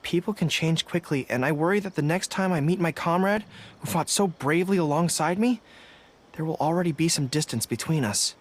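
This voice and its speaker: A man as an earnest, high-voiced young general. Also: close to an everyday voice. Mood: wistful, earnest. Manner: earnest, deliberate, thoughtful. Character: earnest young canine general, male, dutiful, soft-hearted. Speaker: army general answering readers' letters. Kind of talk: video game voice acting. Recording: background music under the voice.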